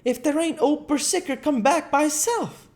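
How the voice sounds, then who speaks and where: Man putting on a high-pitched old zookeeper. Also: moderate pace, a little mellow. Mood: concerned, exclaiming. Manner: rambling folksy dialect, dropped grammar, emphatic. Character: talkative old Cockney zookeeper, folksy and opinionated. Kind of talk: audiobook character voice.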